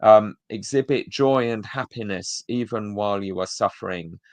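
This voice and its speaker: A man's voice: on the low side, normal speed, no room sound. A man co-hosting a video-call show, giving blunt, sardonic commentary on church talks. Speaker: co-host reviewing church talks. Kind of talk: casual critical commentary.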